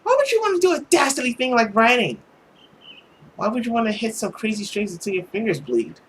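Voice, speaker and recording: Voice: medium pitch, sing-song, brisk, a bit bright, slight background noise, voice pushed up. A narrator voicing an exuberant, dreamy young lady in a visual novel, grandiose and playful. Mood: playfully dramatic.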